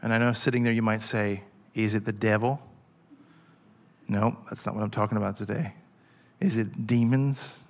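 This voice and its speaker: A man in his forties preaching a passionate sermon, halting with fillers and restarts as he builds his point. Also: lowish voice, fairly even intonation. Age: 40s. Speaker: pastor.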